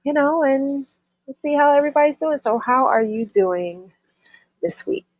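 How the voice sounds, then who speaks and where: A woman's voice: sits high, lively intonation, normal speed, no echo, lo-fi sound. A woman from New York co-hosting a casual wrestling podcast, sounding stuffy from a cold and talking with frequent ums and restarts. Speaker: co-host. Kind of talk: casual chat.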